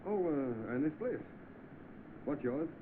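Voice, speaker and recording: An actor playing an eager, hard-up young job seeker in 1930s film style. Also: male voice, a little high, big pitch swings, slow. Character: eager, hard-up young man seeking work. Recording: noisy narrow-band old film soundtrack.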